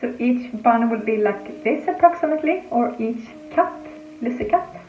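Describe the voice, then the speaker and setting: A woman's voice: a little high, animated, moderate pace, narrow, tinny audio. A woman from Greece who grew up in Sweden, chatting casually as she explains each step of her baking at home in her kitchen. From Greece, raised in Sweden. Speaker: home cooking vlogger. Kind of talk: casual cooking demonstration. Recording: echoey room with faint music.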